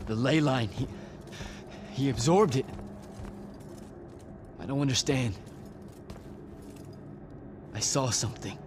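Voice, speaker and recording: A man's voice: medium pitch, takes it slowly, pauses often, background noise, close to an everyday voice. A man playing a brash, irreverent young battlemage recruit in a video game, with a casual, informal way of talking. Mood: shaken. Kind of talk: video game cutscene acting.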